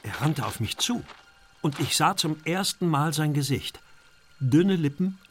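A man's voice: on the high side, expressive, a little slow, slight background noise. A man acting an anxious, genteel Victorian art dealer in a radio crime drama, formally recounting his troubles in detail. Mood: tense recounting. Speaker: actor in a detective radio play.

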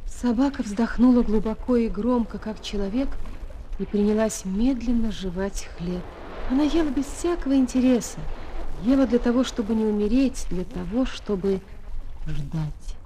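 A woman playing a schoolteacher who narrates a children's audio play with expressive storytelling. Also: fairly high pitch, moderate pace, slight background noise, lightly acted, rough recording. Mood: wistful. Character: female schoolteacher quietly following and admiring her pupil. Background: music.

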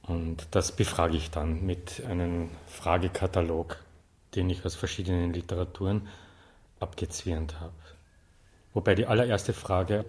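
A man speaks in a deep, low register with an Austrian accent. He answers as an interview guest, conversational and playfully deadpan, with a wry turn to his phrasing. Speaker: interview guest, artist and self-appointed librarian.

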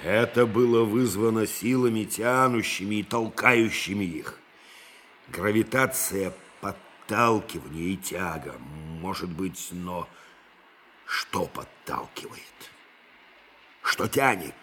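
Low-voiced pensive physicist, noisy background. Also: male voice, takes it slowly, a bit bright. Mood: brooding. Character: pensive, dryly stubborn male physicist, absorbed in theory. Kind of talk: Russian audio drama acting.

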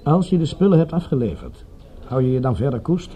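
An actor playing a shady crook in a radio play, blunt and controlled, with an insinuating menace. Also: male voice, mid-range voice, sing-song, fairly quick, mellow, dull audio, a little hiss or hum, close to an everyday voice. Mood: pressing. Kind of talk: Dutch radio drama acting.

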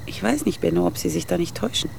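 An actress playing a reluctant, frank woman with a creaky voice and short patience, in a naturalistic radio drama. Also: on the low side, animated, fast talker, warm tone, a little hiss or hum. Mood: reluctant, doubtful.

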